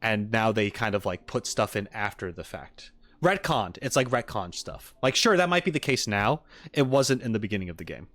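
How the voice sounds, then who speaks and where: A man's voice: on the low side, expressive, normal speed, few pauses, a bit bright, no room sound. A man doing casual livestream commentary as he reacts to a game, opinionated and emphatic, in an argumentative way. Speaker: streamer reacting to game voice lines.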